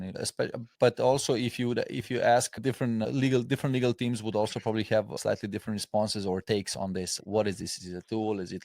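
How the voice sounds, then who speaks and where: A male interviewer with a slightly low voice, recorded dry. He talks casually and loosely, circling around his questions and rewording them as he goes. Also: a little mellow, no echo. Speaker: interviewer, host.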